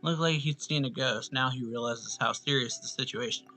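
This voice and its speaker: A man's voice: mid-range voice, normal speed, pushed and bright. A man giving casual, offhand, self-deprecating commentary as he plays a video game. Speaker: game streamer.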